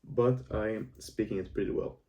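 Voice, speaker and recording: A nineteen-year-old man with a Croatian accent, speaking English as a second language. His tone is mellow and relaxed, and he rambles earnestly with restarts and fillers. He sits a little away from the mic. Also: on the low side, moderate pace, far from the mic. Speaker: self-improvement vlogger. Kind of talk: casual monologue to camera.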